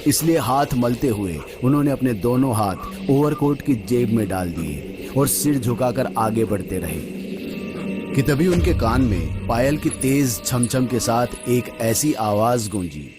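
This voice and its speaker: A man's voice: medium pitch, lively intonation, unhurried. A man narrating a scripted horror story dramatically, drawing out phrases for suspense, with music behind him.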